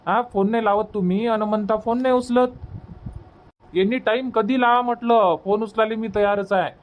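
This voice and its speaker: A man's voice: high voice, steady tone, normal speed, lightly acted. A man voicing an eager, teasing village son in a cartoon comedy, insistent and colloquial, with a Varhadi Marathi accent.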